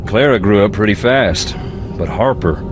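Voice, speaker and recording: A man's voice: lowish voice, expressive, normal speed, music in the background, lightly acted. A man playing a guarded, brooding country man in a video game, plainspoken. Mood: somber. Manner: laconic, plainspoken, folksy. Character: laconic, brooding rural Southern man, regretful and guarded.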